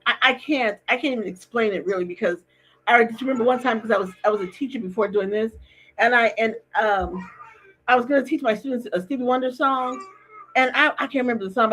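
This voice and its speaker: A woman's voice: a little high, a bit bright, a little hiss or hum, low-quality recording. A woman hosting a casual interview, talking in a chatty way with lots of restarts and repeated words. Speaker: podcast host. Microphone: remote streaming call.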